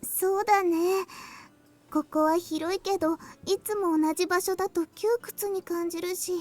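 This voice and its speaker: A woman voicing a gentle, childlike young girl in a Japanese visual novel. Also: very high voice, fairly even intonation, fairly quick, faint music behind. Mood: gentle, eager.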